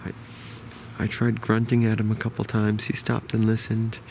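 A man in his forties with a New York accent, quietly explaining a hunt to a close-held camera outdoors in the woods. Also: monotone, moderate pace, vocal fry, slight background noise. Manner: quiet, low-key explaining. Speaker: bowhunter. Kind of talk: hushed outdoor hunting vlog. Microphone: camera held close to face.

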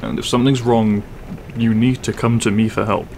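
Male actor as half-dragon roommate, gruff, sweary, weary, secretly soft, creaky voice, audio roleplay, noisy background. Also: lowish voice, fairly even intonation, moderate pace. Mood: softening, caring. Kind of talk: audio roleplay acting, spoken to the listener.

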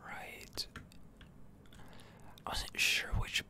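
A game streamer speaking in a breathy, unvoiced whisper, giving soothing ASMR commentary with drawn-out words. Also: bright, forceful tone. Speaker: video game streamer and channel host.

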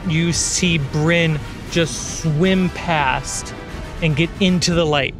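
A man's voice: on the high side, fairly even intonation, slow. A man acting as game master, casually narrating and refereeing a tabletop roleplaying game. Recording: background music under voice.

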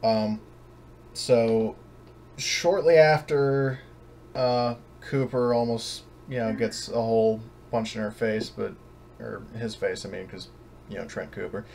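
A man running a tabletop game as game master, casually narrating and explaining in a halting, hesitant way. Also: mid-range voice, fairly even intonation, a little mellow. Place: online voice call, room with background noise. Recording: clear background noise on voice chat.

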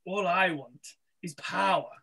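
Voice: mid-range voice, measured pace, creaky, pushed and bright, slightly rough, full character voice, poor audio. A performer playing a scheming, boastful male sorcerer in an amateur pantomime read-through, with theatrical, cackling delivery over a video call. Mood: gloating, menacing. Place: small room, on a video call. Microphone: computer mic on video call.

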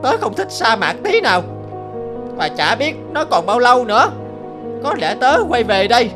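A woman's voice: fairly high pitch, very animated, moderate pace, over music. A woman voicing a grumpy, complaining young monkey in a cartoon folk tale. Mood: weary, whining. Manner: complaining, peevish.

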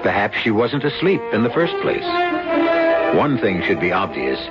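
A man hosting and narrating a radio mystery show in a measured, wry storytelling manner. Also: lowish voice, very animated. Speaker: host and narrator. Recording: dull lo-fi 1970s radio broadcast with music bed.

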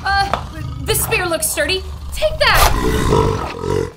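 An actress playing a plucky reporter narrating live danger in an old-time pulp radio adventure. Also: female voice, a bass voice, wildly swooping pitch, extremely slow, vocal fry, full character voice. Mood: excited. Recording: very noisy sound-effect ambience.

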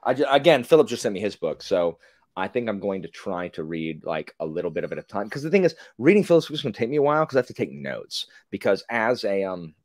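A man's voice: fairly low pitch, fast talker, no room sound, clean studio sound. A man in his forties chatting casually on a video call as a podcast guest, rambling and interrupting himself. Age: 40s. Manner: animated, rambling, self-interrupting.

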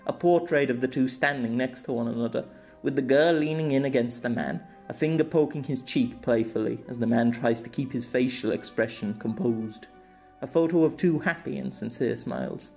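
A man's voice: mid-range voice, normal speed, a little mellow, faint music behind. A man reading scripted story narration expressively as an amateur audiobook narrator.

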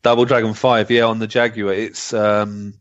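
A man's voice: lowish voice, level tone, normal speed. A man chatting casually and jokily as a podcast co-host. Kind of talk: casual chat. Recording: slightly dull, lo-fi microphone sound.

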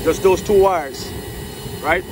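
A man walks through a repair step by step in a loose, casual way, dropping plenty of fillers as he works. His voice sits a little higher than usual. The phone recording is thick with outdoor noise and passing aircraft. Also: takes it slowly. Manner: casual, explains while working, many fillers. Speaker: HVAC technician and channel host. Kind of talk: hands-on troubleshooting walkthrough. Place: outdoors at a rooftop unit. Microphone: phone camera held in one hand.

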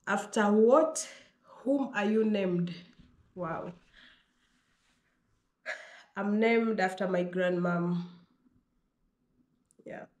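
A woman's voice: mid-range voice, a little mellow. A Kenyan woman in her thirties, a native Pokomo speaker, answering viewer questions in a vlog, reading each question aloud before she answers it. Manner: reads questions aloud, then answers them herself in an unhurried way. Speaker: vlogger. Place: small bedroom indoors. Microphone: fixed camera at desk distance. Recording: echoey bedroom.

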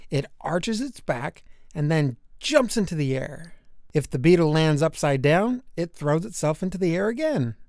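A man narrating a children's nature book aloud, with deliberate, emphatic storytelling for young listeners. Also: on the high side, a little mellow, dry recording, studio quality.

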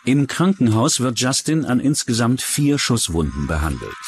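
A man's voice: mid-range voice, big pitch swings, normal speed. A man reading scripted true-crime narration as a documentary narrator. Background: music.

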